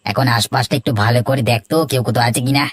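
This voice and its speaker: A man's voice: medium pitch, steady tone, racing, no echo, studio quality, close to an everyday voice. A man voicing a scheming petty thief in a cartoon, sly and bossy. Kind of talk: Bengali moral-story cartoon dubbing.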